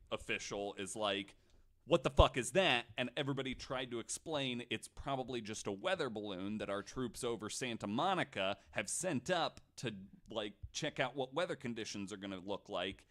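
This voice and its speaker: A man hosting a casual podcast, retelling stories to his guests in an animated, swearing way. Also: medium pitch, normal speed. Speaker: podcast host.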